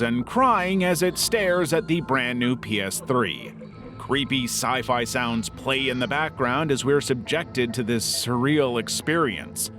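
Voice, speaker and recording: A man reading a scripted video essay as its narrator. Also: medium pitch. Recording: music under the voice. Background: background music.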